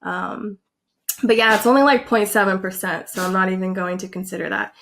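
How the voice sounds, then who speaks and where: A young woman vlogging casually, reacting in an animated, excitable way and filling her talk with likes and ums. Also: medium pitch, brisk, gravelly. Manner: animated, excitable, filler-heavy with frequent likes.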